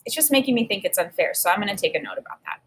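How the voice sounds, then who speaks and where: Woman, teacher, read-aloud lesson, husky, mid pitch, expressive. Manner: reads with expressive emphasis, pauses to think aloud.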